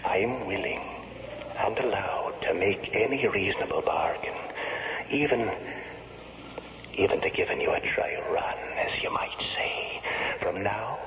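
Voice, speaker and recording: Low, ominous ghost. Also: male voice, animated. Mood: coolly bargaining. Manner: measured, ominous. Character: ghost of murdered man, the devil's solemn messenger. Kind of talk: 1970s radio drama acting. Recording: muffled vintage radio with clear noise.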